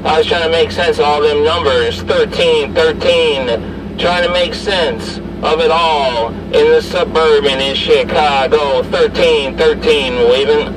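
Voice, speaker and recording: A man's voice: a little high, fairly even intonation, measured pace, far from the mic. A man doing casual, slangy CB radio chat, repeating handles and call-outs. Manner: repetitive, slangy radio patter. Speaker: CB radio operator. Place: inside a parked or moving SUV. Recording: noisy car interior, away from mic.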